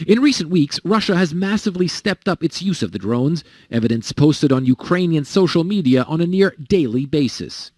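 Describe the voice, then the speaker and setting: A male news correspondent reading a scripted report with measured, dramatic pauses. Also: on the high side, animated, a little mellow, dry recording, clean studio sound.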